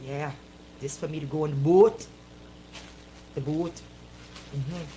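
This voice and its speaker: A woman with a Bahamian accent hosting a live radio show with casual banter, talking in a playful, animated, colloquial way. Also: low-pitched, slow, a little hiss or hum, rough recording.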